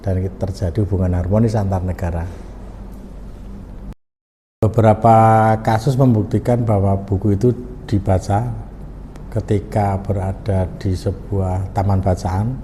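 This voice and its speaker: A middle-aged man, explaining in a measured way as an interviewed language official. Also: deep voice, mellow. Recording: echoey hall with faint noise.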